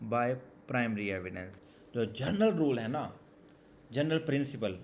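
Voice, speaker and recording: A man with a Pakistani accent giving a law lesson, reading out formal text and explaining it deliberately, repeating key phrases. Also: medium pitch, a little hiss or hum. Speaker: law lecturer.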